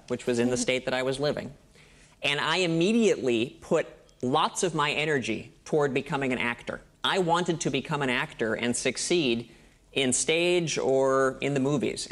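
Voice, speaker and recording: A man from Minnesota with an American accent, chatting as a studio guest in a friendly, steady storytelling way. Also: a little high. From Minnesota, United States. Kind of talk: casual interview chat.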